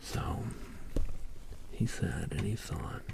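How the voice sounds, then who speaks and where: A man with a low, deeply crackly voice drifts in and out of whispering as he tells a bedtime story for ASMR. His pace is slow and soothing, broken into short rambling phrases, spoken close to a sensitive microphone. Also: animated. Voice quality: breathy whisper mixed with low creaky voice. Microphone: close high-sensitivity microphones. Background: soft writing and tapping trigger sounds.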